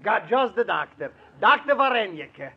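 An actor playing a fussy, blunt professor with an Eastern European accent and broken English, in a 1940s radio comedy. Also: male voice, high voice, sing-song, moderate pace. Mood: chirpy, fond. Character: skinny, gloomy European professor, affectionate but blunt. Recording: dull old radio recording, studio audience.

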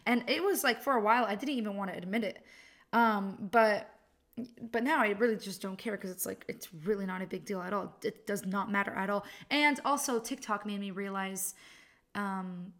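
A woman's voice: medium pitch, moderate pace, fairly bright tone. A woman in her twenties hosting her own podcast, chatting casually and self-mockingly with frequent laughs and fillers. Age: 20s. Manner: chatty, self-mocking, laughs often, many likes and ums. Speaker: podcast host. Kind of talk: casual solo podcast chat.

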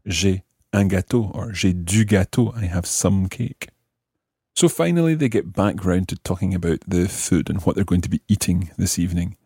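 A man's voice: low voice, normal speed, dark tone, husky, dry recording, studio quality. A man hosting a language lesson, explaining grammar methodically and repeating phrases as he teaches. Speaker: language teacher and podcast host.

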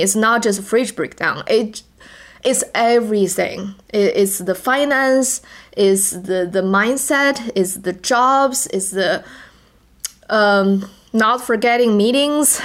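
A woman with a Chinese accent, English not her first language, co-hosting a casual podcast and often restarting words as she searches for phrasing. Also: mid-range voice. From China. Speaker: podcast co-host.

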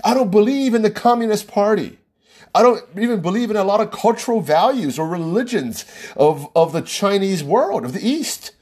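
An American man with a slightly husky voice holds forth on his own podcast. His pitch sits a bit high and swings widely as he presses his points. He keeps checking in with a rhetorical "right?". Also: lively intonation, moderate pace, darkish tone. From United States. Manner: emphatic, rhetorical, frequent "right?" asides. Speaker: podcast host. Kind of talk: opinionated solo podcast monologue.

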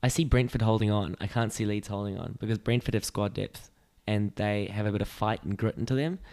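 A man's voice: lowish voice, fairly even intonation, brisk, vocal fry, darkish tone. A young man co-hosting a casual sports podcast, joking and laughing often as he chats. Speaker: podcast co-host.

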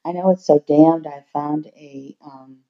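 Hoarse woman, low voice, candid reflective monologue, dull lo-fi home computer recording. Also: fairly even intonation, a little slow, soft background music. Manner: open, reflective, confiding. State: hoarse, losing her voice. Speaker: podcast host, singer-songwriter. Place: her home.

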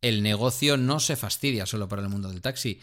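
A man from co-hosting a podcast in casual chat, talkative, playful and measured. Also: fairly low pitch, fairly bright tone. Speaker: podcast co-host.

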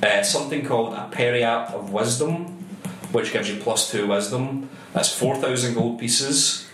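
A man's voice: mid-range voice, a little slow, fairly bright tone. A man runs a tabletop game in a loose, casual way, full of ums. His voice sits in the middle range. The room gives an echo, with faint noise behind. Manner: casual, swearing, lots of ums. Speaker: game master.

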